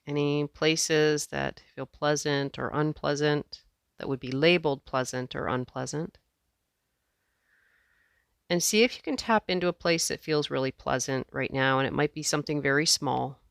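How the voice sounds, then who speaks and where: Clear-voiced woman guiding a meditation. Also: low-pitched, steady tone, moderate pace, no echo, clean studio sound. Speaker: meditation teacher. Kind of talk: guided meditation.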